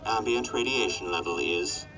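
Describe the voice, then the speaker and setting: A man voicing a deep, mechanical weather robot. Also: moderate pace, natural voice. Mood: reporting. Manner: flat, methodical report delivery. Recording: distant voice over loud music.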